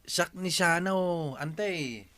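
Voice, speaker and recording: High-voiced young Ilocano nephew. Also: male voice, takes it slowly, bright, forceful tone, full character voice. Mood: polite, greeting. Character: polite young nephew visiting the village. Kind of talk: comedic radio drama acting.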